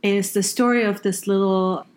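A woman from Iran, Farsi her first language, a guest in a casual podcast interview, speaking reflectively with hesitations and soft laughs. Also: mid-range voice, fairly even intonation, normal speed. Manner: reflective, hesitant with many fillers, laughs softly. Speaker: guest engineer.

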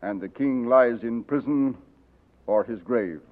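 An actor as a grave old colonel. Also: male voice, medium pitch, unhurried, lightly acted. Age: older. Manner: grave, commanding. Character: older grave loyal colonel, urgent and fatalistic. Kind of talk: 1940s radio acting. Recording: tinny old radio broadcast.

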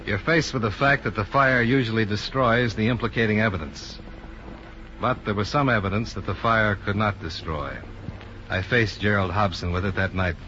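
A man's voice: lowish voice, normal speed, sharp tone. A man playing a blunt, cool-headed insurance investigator in a 1950s radio detective drama, with dry, clipped delivery. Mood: dry narrating. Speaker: lead actor. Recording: dull, noisy 1950s radio recording.

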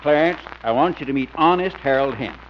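An actor playing a folksy, joking small-town marshal with rustic talk in 1950s radio comedy style. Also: male voice, mid-range voice, a little fast, lightly acted. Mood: genial. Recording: muffled 1950s radio recording, faint noise.

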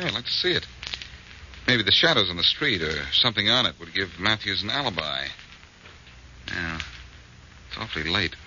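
An actor playing a confident, friendly amateur sleuth in a 1940s radio detective drama. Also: male voice, deep voice, sharp tone, heavily acted. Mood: brisk, purposeful. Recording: muffled, faintly noisy old radio recording.

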